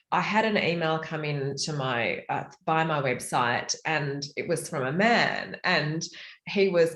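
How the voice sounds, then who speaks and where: A woman with an Australian accent, an author chatting in a virtual panel, animated and full of fillers. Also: sits low, reverberant.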